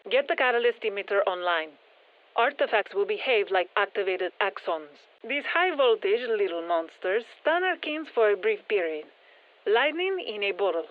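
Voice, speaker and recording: An actress playing a composed scientist who gives soldiers matter-of-fact, dryly wry mission instructions through a radio filter in a game. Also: female voice, medium pitch, expressive, normal speed, phone-quality sound. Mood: calm, instructive. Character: composed female scientist-engineer guiding soldiers against alien parasites. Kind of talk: scripted game mission briefing.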